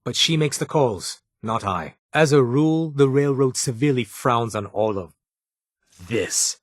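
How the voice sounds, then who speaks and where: An actor playing a weary, condescending underground doctor in a video game, speaking in a clipped, formal, sardonic way. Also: male voice, mid-range voice, a little slow, no echo, studio quality, natural voice. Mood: dry, reserved.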